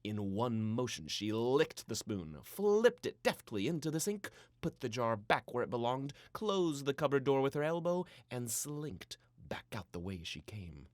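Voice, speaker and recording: A man reading a scripted children's story in a playful, theatrical way with dramatic pauses. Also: mid-range voice, big pitch swings, no room sound, clean studio sound. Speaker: storybook narrator.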